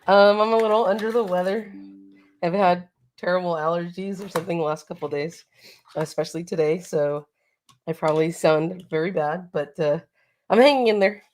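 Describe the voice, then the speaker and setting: A woman's voice: on the low side, normal speed, darkish tone. A woman co-hosting a live toy stream, congested from allergies, upbeat and laughing often as she greets chatters. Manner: upbeat, laughs often, reads out chat names. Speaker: co-host. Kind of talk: casual live-stream chat.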